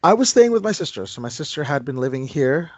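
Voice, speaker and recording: A man in his thirties from Indonesia answers interview questions in a thoughtful, self-deprecating way, pausing to restart his thoughts. His mid-range voice comes through dry and dull, like lo-fi remote audio. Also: a little high, big pitch swings, a little mellow, no room sound. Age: 30s. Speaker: guest entrepreneur. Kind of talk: interview conversation.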